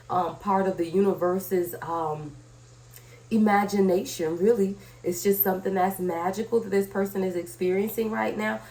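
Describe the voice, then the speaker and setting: A woman's voice: lowish voice, a little mellow. A woman hosting a conversational tarot reading, her voice slightly scratchy from a cold, addressing viewers directly and checking in with short tag questions. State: sick with a cold. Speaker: tarot reader, channel host.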